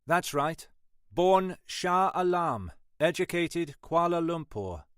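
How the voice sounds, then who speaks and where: A man's voice: fairly high pitch, very animated, slow, a bit bright, smooth, no echo, studio quality, pitched above the performer's own voice, heavily acted. A man voicing a cocky young computer expert in an audiobook, with a cut-glass English accent and clipped manner. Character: cocky skinny young male computer forensics whiz, twenty-six.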